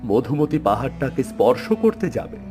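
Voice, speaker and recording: A man's voice: medium pitch, sing-song, a little fast, over music. A man giving scripted narration for a fairy-tale cartoon.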